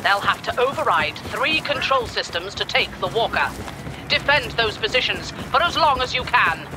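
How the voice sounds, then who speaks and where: A man playing a stern, cold Imperial colonel in a video game, issuing crisp, authoritative orders. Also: very high-pitched, expressive, normal speed, background noise. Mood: stern, commanding. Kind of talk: scripted game mission briefing.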